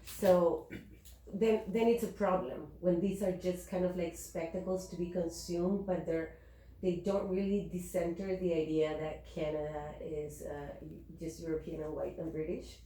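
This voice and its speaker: Woman from Chile, panel speaker, mellow low voice, thoughtful, halting, roomy echo. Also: fairly even intonation. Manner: thoughtful, with many fillers and restarts. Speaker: panelist, activist and educator. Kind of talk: panel discussion remarks. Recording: echoey room.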